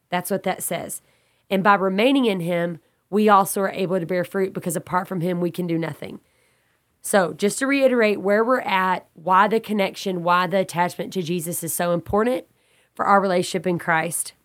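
A woman with a congested, slightly raspy voice, teaching a Bible study in an earnest, preacherly way and restating her points as she goes. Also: medium pitch. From Texas.

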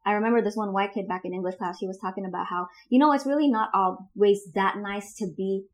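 A woman's voice: mid-range voice, quick pace. A young adult woman hosting a podcast, talking frankly and swearing casually. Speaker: podcast host. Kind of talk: casual debate chat.

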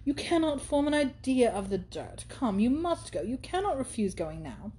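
A woman reading aloud and voicing an effusive, affected young society woman who gushes in long, drawn-out phrases. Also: high-pitched, sing-song, warm tone, pitched above the performer's own voice. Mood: gushing. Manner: drawn-out, gushing delivery.

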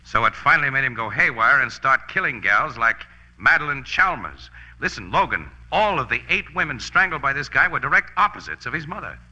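An actor playing a brash, slangy American newspaper photographer in a punchy 1940s radio drama style. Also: male voice, medium pitch, expressive, a little fast, bright, forceful tone, dull audio. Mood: confident, insistent. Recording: old radio broadcast recording.

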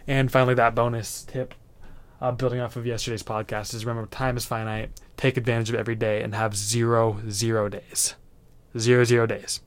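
A man's voice: medium pitch, no echo. A man in his twenties hosting a solo podcast, giving earnest motivational advice in a loose, rambling way with lots of fillers. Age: 20s. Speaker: podcast host.

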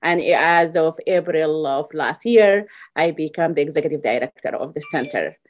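A woman from Sudan with a notably low voice. She speaks haltingly, with lots of fillers and restarted phrases, as a guest on a public health podcast. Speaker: executive director of a worker center.

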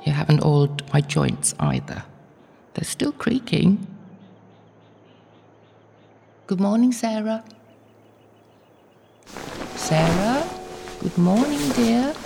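An actress playing an old, devout, lonely but feisty medieval anchoress in an audio drama, creaky-voiced and muttering to herself. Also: female voice, medium pitch, very animated, slow pace, pauses often, music in the background, full character voice. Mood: wry, cheerful. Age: older. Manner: talking to herself, muttering and exclaiming.

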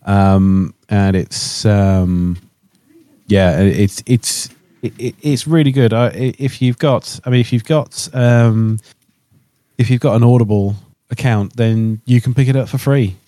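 A man's voice: lowish voice, steady tone, measured pace, dark tone, dry recording. A man chatting casually as a podcast panellist, hesitant and repeating his phrases as he recommends things. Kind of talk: casual chat.

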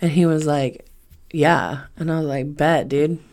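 A woman's voice: low-pitched, steady tone, measured pace, darkish tone. A woman in her twenties chatting casually on a solo podcast, rambling and swearing freely with emphatic repetition. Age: 20s. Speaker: podcast host.

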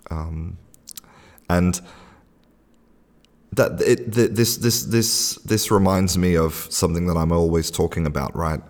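A man's voice: low voice, fairly even intonation, a little slow, long pauses, in a large hall. A man hosting a podcast, telling a casual story with lots of restarts and fillers. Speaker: podcast host. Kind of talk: casual spoken podcast monologue.

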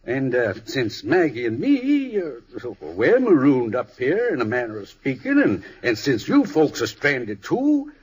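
Husky, kindly country storekeeper. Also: male voice, medium pitch, huge pitch swings, a little slow, few pauses, exaggerated voice. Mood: gently hesitant. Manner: gentle, hesitant, homespun. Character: kindly, humble old-fashioned country storekeeper. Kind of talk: 1950s radio drama acting.

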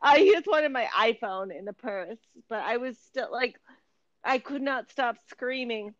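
A woman telling a story in an animated, casual way, laughing and rising to near-shouts. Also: a little high, unhurried, highs cut off, crowd noise.

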